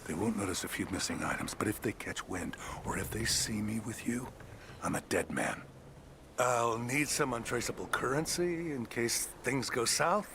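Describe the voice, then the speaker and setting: An actor playing a nervous maintenance technician in a video game, speaking in a hushed, urgent manner. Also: male voice, medium pitch, normal speed, close to an everyday voice. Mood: nervous, conspiratorial. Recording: clear background game noise.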